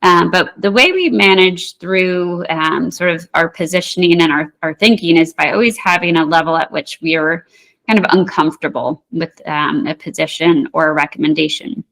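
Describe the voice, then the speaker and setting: A woman market analyst, a guest in an interview, explaining price charts in a measured way with frequent ums and restarts. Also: on the low side, gravelly, fairly bright tone.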